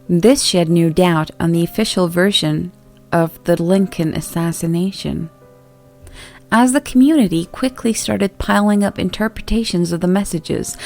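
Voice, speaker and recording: A woman with a fairly low voice narrates a creepypasta from a script. Her reading is measured and deliberate. Faint music plays behind her. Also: darkish tone. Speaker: horror story narrator.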